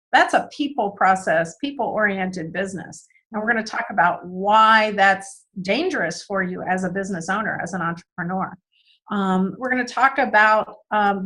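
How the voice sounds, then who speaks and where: A woman guest who is losing her voice, answering interview questions earnestly with frequent "um" pauses. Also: a little high, pushed and bright, poor audio. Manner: earnest, many "um" fillers and repeated words. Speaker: guest speaker on business systems.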